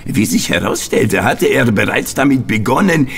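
A man's voice: medium pitch, animated, moderate pace, vocal fry, fairly bright tone, breathy edge, full character voice. An actor playing a hot-tempered Italian man confessing a failed mission in an audio drama. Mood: agitated confession. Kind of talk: German radio play acting.

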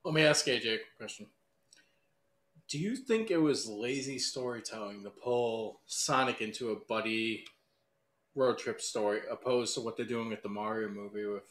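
A man's voice: fairly low pitch, fairly bright tone. A man on a podcast panel asking casual, opinionated questions in an echoey room.

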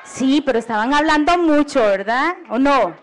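A woman teacher from Costa Rica telling a story to small children at an outdoor evening festival, speaking in a slow, singsong way. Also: high-pitched, animated, a faint crowd, a little hiss or hum.

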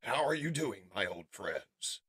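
A man's voice: mid-range voice, big pitch swings, a little slow, a bit bright, husky, dry recording, studio quality, pitched above the performer's own voice, exaggerated voice. A man voicing a noble robot leader who commands in a stern, earnest, declamatory way, in a comic-book story. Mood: earnest concern.

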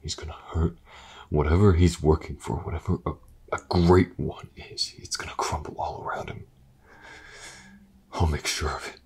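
An actor playing a brooding, vengeful detective in an intimate audio roleplay, muttering haltingly, often near a whisper. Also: male voice, extremely low pitch, steady tone, a little mellow, husky, exaggerated voice. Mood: bitter anger. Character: brooding, vengeful, grief-stricken male detective.

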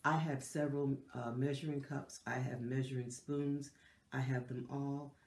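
A woman's voice: a bass voice, steady tone, normal speed, echoey room. A middle-aged woman hosting a lifestyle channel, talking casually to camera with a stuffy, nasal voice from a cold and lots of fillers and restarts. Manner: chatty, warm, with many fillers and restarts. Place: decorated living room indoors.

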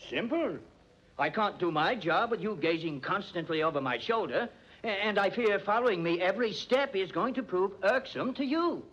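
An actor playing an evasive, blustering doctor in a fussy, sputtering 1940s radio drama style. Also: male voice, high voice, lively intonation, normal speed, fairly bright tone. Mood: sly, wheedling. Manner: fussy, sputtering, clipped phrases. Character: evasive, blustering doctor and lecturer with gambling debts. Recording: muffled vintage 1940s radio recording.

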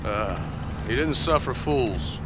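An actor playing a swaggering, sarcastic, hot-tempered biker gang leader in a video game cutscene. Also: male voice, mid-range voice, expressive, slow, noisy background. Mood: boastful tribute. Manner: cocky, sarcastic, profane.

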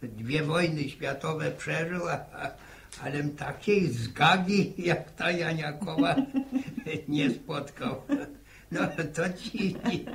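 An actor playing a halting, grumbly old grandfather with speech in a 1960s radio drama. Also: on the high side, creaky, a bit bright, heavily acted. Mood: sardonic. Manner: halting, repeats his words. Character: stammering, grumbly old village grandfather, fond and folksy. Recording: faintly noisy old radio recording.

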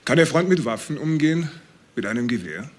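An actor playing a matter-of-fact police investigator in a radio crime drama, asking terse questions. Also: male voice, a little high, animated, a little fast, a little mellow, close to an everyday voice. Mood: probing. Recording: faint background noise.

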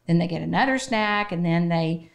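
Middle-aged woman, slightly low pitch, creaky fry, interview guest, conversational. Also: moderate pace. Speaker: children's shelter representative, podcast guest. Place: small podcast studio, indoors. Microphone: close studio microphone on boom arm.

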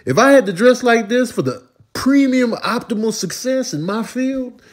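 A man with a husky voice giving slangy, exclamatory comedic commentary over clips in mock outrage. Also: very high-pitched, animated, normal speed.

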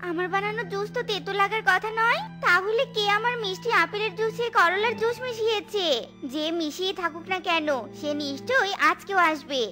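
A woman's voice: very high-pitched, a little fast. A woman voicing an honest, hardworking mother bird in a children's cartoon, speaking earnestly and expressively. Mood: upset, determined. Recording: music under the voice.

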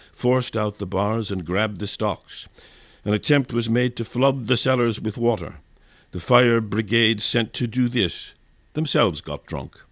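Male narrator, lower-pitched, dry close sound, formal scripted radio reading of history. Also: no echo. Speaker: narrator and reader.

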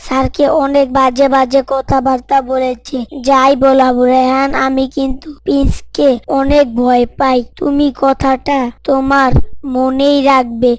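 A woman's voice: high voice, completely flat delivery, unhurried, dry recording. A woman voicing a timid schoolboy in a story, speaking in a confiding, worried way. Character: timid gossiping schoolboy Ali, anxious about a bully.

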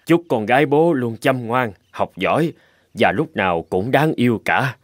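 A man voicing a gentle, reassuring father in a dubbed cartoon. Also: medium pitch, expressive, unhurried, no room sound, clean studio sound. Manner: warm, kindly, reassuring. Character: gentle, hardworking young father. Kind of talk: cartoon dubbing.

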